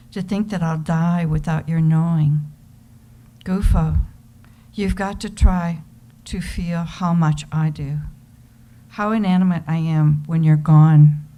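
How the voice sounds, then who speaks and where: A woman's voice: fairly low pitch, dark tone. A woman giving a dramatic reading of a love letter. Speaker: reader in an amateur readers theater.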